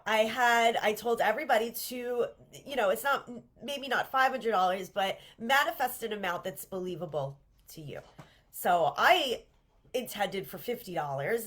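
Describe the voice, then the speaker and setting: A congested woman with a cold, leading a live-streamed manifestation workshop in an earnest, encouraging way and often restarting her sentences. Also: mid-range voice. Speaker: manifestation coach hosting a livestream workshop. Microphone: livestream camera mic.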